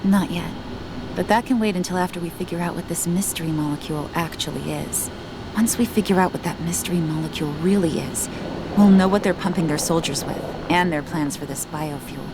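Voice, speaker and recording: A woman's voice: on the low side, a little fast, noisy background, close to an everyday voice. An actress playing a bitter, determined young activist estranged from her father in a video game cutscene. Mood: businesslike, resolute. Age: young adult. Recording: game music behind the voice.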